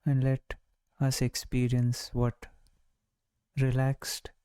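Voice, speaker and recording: Slow, mellow man guiding meditation. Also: medium pitch, clean tone, no echo. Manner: calm, soothing, unhurried with long pauses. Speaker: meditation guide. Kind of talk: guided body-scan meditation.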